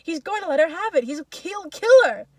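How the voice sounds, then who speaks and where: A woman voicing rowdy, drunken young peasant men in a story, goading with excited shouts. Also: very high-pitched, fairly quick, no echo, pitched above the performer's own voice. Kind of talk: audiobook-style dramatic reading.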